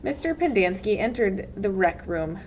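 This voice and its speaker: A woman's voice: mid-range voice, big pitch swings, normal speed, a little mellow. A woman reading a storybook aloud as narrator, expressively, like a teacher. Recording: dull lo-fi sound, faint noise.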